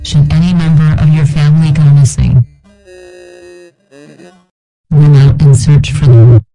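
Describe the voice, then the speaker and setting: Cold alert announcer, played by a man: flat monotone orders, harsh forceful tone, faint music behind. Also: fairly high pitch, a little slow, poor audio. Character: monotone emergency alert voice giving dangerous orders. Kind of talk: analog horror emergency alert.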